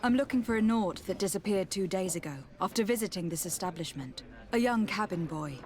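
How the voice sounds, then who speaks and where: A woman plays a young noblewoman investigating in a fantasy game. Her voice sits at a medium pitch, composed and polite, with measured, formal questions. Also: expressive, a little mellow, close to an everyday voice. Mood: earnest. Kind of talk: video game dialogue acting.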